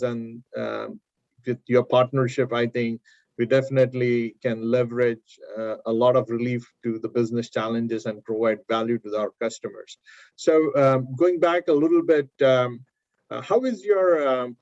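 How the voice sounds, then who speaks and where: A man's voice: medium pitch, steady tone, normal speed, darkish tone. Hesitant male webinar host, dull audio. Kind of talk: webinar interview, business talk.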